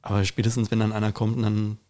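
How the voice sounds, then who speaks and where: A man co-hosting a casual interview podcast, phrasing questions loosely and haltingly, with repeated words. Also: fairly low pitch, completely flat delivery, dark tone. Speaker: podcast host. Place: kitchen.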